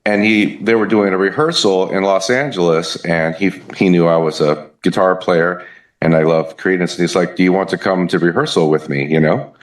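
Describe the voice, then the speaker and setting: A man in his fifties from the Southern United States with a Southern US accent, chatting as a musician guest in an interview, relaxed and hesitant with many fillers. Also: deep voice, moderate pace. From Southern United States (Kentucky, Memphis). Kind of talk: casual interview chat. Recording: echoey room.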